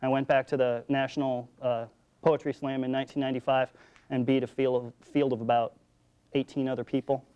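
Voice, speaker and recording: A man's voice: medium pitch, level tone, brisk, dark tone, clean tone, lo-fi sound. A talkative, playful man chatting casually as a guest poet, sometimes reciting short poems. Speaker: guest haiku poet and slam champion. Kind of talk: casual chat with poem recitation.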